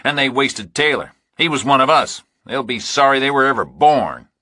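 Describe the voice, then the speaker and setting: A man's voice: medium pitch, expressive, moderate pace, sharp tone, no room sound, clean studio sound, pitched above the performer's own voice. A man voicing a menacing ex-military contractor in an audiobook thriller, with combative, clipped tough talk. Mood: vengeful, forceful. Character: hard, menacing male military contractor, ex-soldier.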